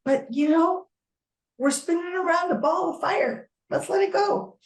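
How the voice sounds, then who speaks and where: A woman co-hosting a podcast, talking in animated, emphatic bursts in casual chat. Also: very high-pitched, measured pace. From Colombian American. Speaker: podcast co-host. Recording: roomy echo.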